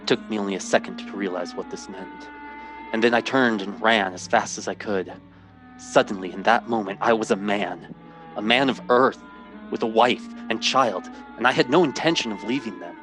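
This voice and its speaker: A man's voice: lowish voice, moderate pace, music in the background, natural voice. An actor playing a man who recounts his own ordeal as sombre, dramatic first-person narration in an old-time radio drama. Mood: grave, resolute.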